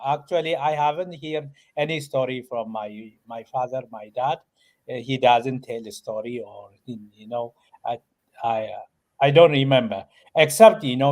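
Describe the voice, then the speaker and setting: A man from Ethiopia, a guest author and professor, answering interview questions with many pauses, fillers and restarts. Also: medium pitch, a little mellow.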